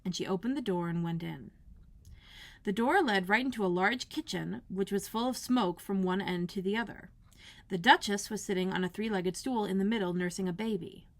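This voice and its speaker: A woman librarian reading a children's storybook aloud in an expressive narrating style. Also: mid-range voice, moderate pace.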